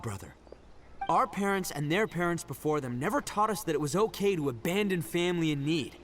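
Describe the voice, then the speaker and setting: An actor playing a brash, boastful young male oni gang leader who laughs often and talks in slang, in a video game. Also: sits high. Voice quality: loud, rowdy and cheerful. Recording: game audio with music behind.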